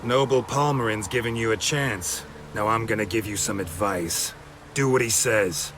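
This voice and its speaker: Man playing a low-voiced, cynical monster slayer. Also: normal speed, background noise, natural voice. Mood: sardonic warning. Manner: terse, sardonic, clipped sentences. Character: dry, cynical, world-weary monster slayer. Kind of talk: video game voice acting. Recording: music behind the voice.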